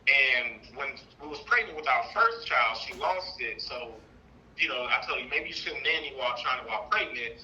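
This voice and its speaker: A thirty-year-old man talking casually on a call, telling a personal story in a rambling, animated way. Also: medium pitch, normal speed, highs cut off, a little hiss or hum. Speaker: caller seeking advice, trucking business owner.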